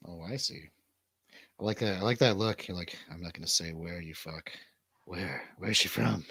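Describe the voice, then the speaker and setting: A man's voice: sits low, moderate pace, creaky, pushed and bright, breathy edge, no echo. A middle-aged man hosting a casual live stream in informal, sometimes sweary chat. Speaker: show host.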